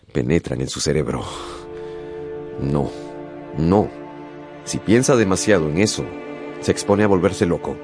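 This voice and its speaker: A male narrator tells a dramatic radio story over clear background music. His low voice is theatrical and intense, with very animated pitch and deliberate pauses.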